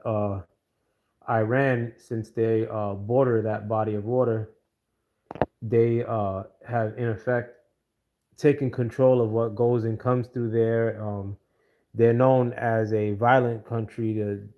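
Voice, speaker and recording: An American man giving casual political commentary on a livestream, pausing often with fillers and greeting viewers by name. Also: on the low side, highs cut off. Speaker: livestream host.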